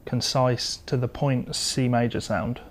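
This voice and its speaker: A male guitar instructor talks through a lesson in an informal, methodical way. His voice sits at an average pitch for a man. Prominent guitar playing accompanies his explanations. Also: steady tone, measured pace. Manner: explains step by step, talking between demonstrations. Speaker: guitar teacher. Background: electric or acoustic guitar being played.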